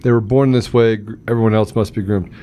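A man's voice: on the low side, fairly even intonation, fast talker, dark tone, a little hiss or hum. A man hosting a casual live talk show, joking and teasing, often repeating himself as he banters.

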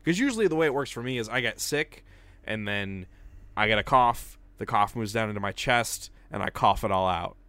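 A young man co-hosting a casual podcast, hoarse from a cold, recounting things step by step. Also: on the low side, brisk, no echo. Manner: tells events step by step, plain and steady. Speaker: podcast co-host. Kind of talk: casual chat.

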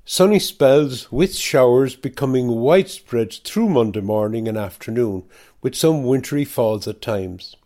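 Male weather reader, mid-range pitch, scripted community radio forecast delivery. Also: expressive, unhurried, mellow.

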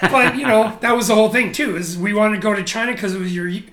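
A man being interviewed, talking casually and candidly about his career, animated and blunt with frequent restarts. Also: high-pitched, fast, reverberant. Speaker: interview guest, photographer. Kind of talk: casual conversational storytelling.

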